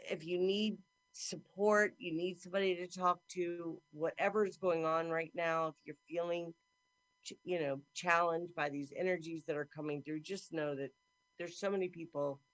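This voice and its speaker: A middle-aged woman hosting a talk show over a video call, with a deep, carrying voice, effusive and chatty. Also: steady tone, normal speed, no echo. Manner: effusive and warm, talks a lot. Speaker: host. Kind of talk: casual chat. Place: indoor room at home.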